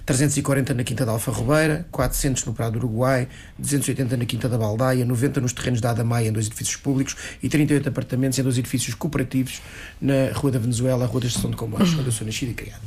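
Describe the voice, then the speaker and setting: A man's voice: medium pitch, fairly even intonation, darkish tone. A man with a European Portuguese accent, arguing as a local politician on a panel, restarting and repeating words as he pushes his point. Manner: fast, restarts often, repeats words, argues insistently.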